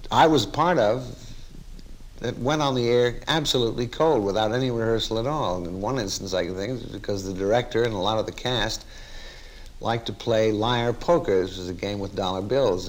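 A man's voice: on the low side, a little fast, low-quality recording. An older man, a radio actor, telling easygoing anecdotes in a casual interview.

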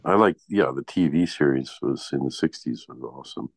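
A man with an extremely deep voice chats casually. The sound is dry, without room echo. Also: expressive, a little fast. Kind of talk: casual chat.

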